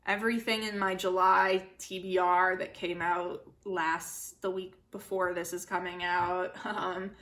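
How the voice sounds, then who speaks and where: Young woman doing a chatty book vlog, bright clear-cut tone, kinda giggly and self-deprecating. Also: mid-range voice. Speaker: book vlogger, channel host.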